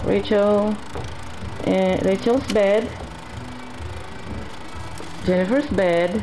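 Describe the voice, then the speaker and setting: A middle-aged woman walking through her house with a camcorder, naming each room in a halting, casual way. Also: medium pitch. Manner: halting, pointing things out one by one. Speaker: mother giving a house tour. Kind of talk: casual home-video narration. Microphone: built-in camcorder mic. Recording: echoey camcorder audio with music over it.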